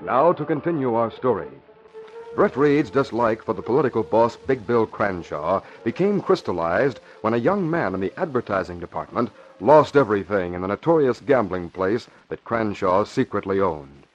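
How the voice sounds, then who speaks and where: A man narrating an old-time radio drama as announcer, in a measured, emphatic dramatic style. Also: on the low side, expressive, soft background music. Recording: muffled old radio broadcast, background noise.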